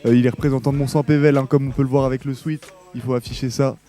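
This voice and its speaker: A man's voice: mid-range voice, fairly even intonation, fast talker, mellow, faint music behind. A man hosting casual post-game interviews in an encouraging, congratulatory manner. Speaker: interviewer, show host.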